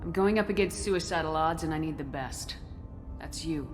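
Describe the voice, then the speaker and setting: A tough commander played by a woman talks in a low, firm voice. She is blunt and determined in game-drama style, with long reverb and music around her. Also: moderate pace, natural voice. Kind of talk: video game dramatic acting.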